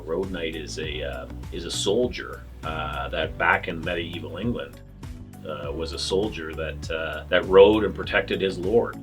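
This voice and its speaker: Deep-voiced man in his fifties. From Canada. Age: 50s. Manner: reflective, halting, restarts his phrases. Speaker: interviewee. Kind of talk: documentary interview.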